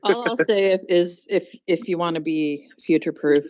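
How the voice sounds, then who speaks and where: A blunt woman presses her point in a casual panel debate and swears freely. She speaks at an ordinary pitch. The audio is dull and lo-fi, with a dry room. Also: normal speed, darkish tone, no room sound.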